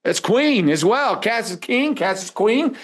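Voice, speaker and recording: A man's voice: high voice, lively intonation, measured pace, a bit bright. A man teaching a live webinar in a conversational way, prompting listeners with rhetorical questions. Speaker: webinar instructor.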